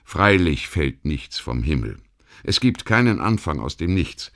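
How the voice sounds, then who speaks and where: A man with a very low-pitched voice narrates a documentary audiobook. He reads from a script in a measured, formal manner. The delivery is that of a thoughtful essay read aloud. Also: very animated, creaky.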